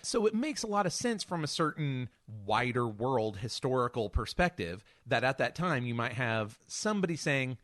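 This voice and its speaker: Man with lively, swinging intonation, dry recording. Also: medium pitch, normal speed, few pauses, dry recording. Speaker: podcast co-host and superhero scholar. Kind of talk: casual analytical podcast discussion.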